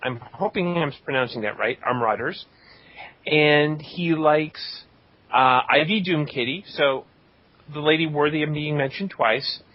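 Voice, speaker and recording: A man with a slightly high voice hosts a podcast, reading shout-outs in a casual, joking style. The recording is a little dull and lo-fi. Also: a little slow. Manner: joking, tongue-in-cheek. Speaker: podcast host.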